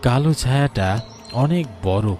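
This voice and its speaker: A man's voice: medium pitch, expressive, measured pace, over music. A man reading a scripted horror story as narrator, dramatic and suspenseful.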